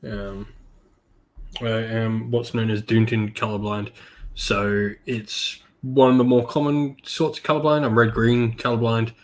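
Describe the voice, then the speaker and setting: A man hosting a casual hobby stream, a little croaky from being sick, laid-back with lots of ums. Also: medium pitch, level tone, unhurried. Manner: laid-back, many ums, greets chat viewers by name. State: slightly croaky from illness. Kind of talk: casual live-stream chat while painting miniatures.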